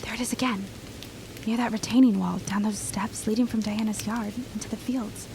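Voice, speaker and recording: A woman's voice: mid-range voice, fairly even intonation, normal speed, gravelly, background noise, close to an everyday voice. A woman playing an eager, inquisitive and loyal neighbour in a period audio drama, with earnest, old-fashioned phrasing. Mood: eager, conspiratorial.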